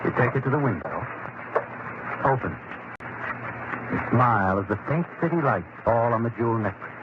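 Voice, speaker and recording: An ominous, all-knowing narrator played by a man from an old radio suspense play, speaking at a middle pitch. He is deliberate and insinuating, addressing his guilty subject directly. The recording is muffled and very noisy. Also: expressive, natural voice. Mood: knowing, taunting. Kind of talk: second-person suspense narration, old-time radio acting.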